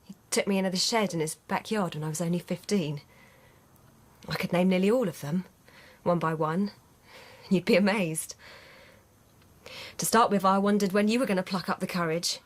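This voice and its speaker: An actress in a radio drama playing a frank, guarded young housemaid with a blunt, wry, offhand manner. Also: female voice, lowish voice, moderate pace, long pauses, lightly acted. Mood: frank, matter-of-fact. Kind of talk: naturalistic radio drama acting.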